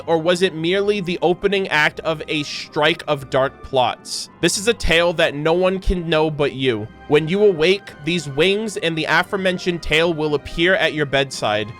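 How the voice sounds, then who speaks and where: A man's voice: on the high side, a bit bright. A man hosting a gaming reaction, reading lore aloud and commenting on it casually.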